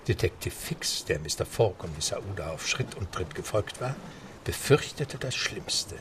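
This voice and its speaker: A man narrating a radio play in a steady, measured storytelling manner. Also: sits low, noisy background.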